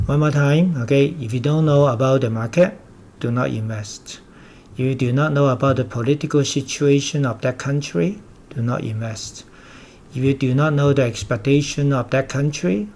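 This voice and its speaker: Older man, Hong Kong accent, native Cantonese speaker, mid-pitched voice, emphatic, repetitive, blunt investment lecture. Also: moderate pace, long pauses, darkish tone, a little hiss or hum. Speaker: investment commentator. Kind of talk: lecturing market commentary.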